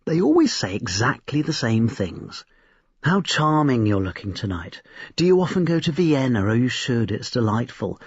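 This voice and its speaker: A man's voice: medium pitch, sing-song, moderate pace, slightly rough. A man voicing critical, enthusiastic young society women in a storybook reading, in a gossipy, emphatic manner. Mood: critical, mocking. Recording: roomy, slightly dull recording.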